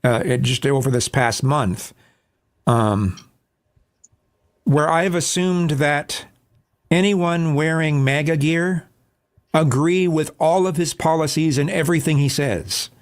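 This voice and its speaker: A man talking as a guest on a casual political panel, halting and thoughtful with many restarts. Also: on the high side.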